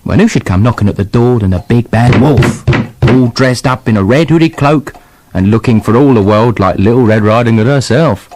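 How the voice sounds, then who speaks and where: An actor playing a short-tempered, foul-mouthed fairy-tale writer with a British accent in a comic radio play. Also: male voice, mid-range voice, normal speed, over music, close to an everyday voice. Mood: storytelling. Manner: exasperated, blunt, bickering.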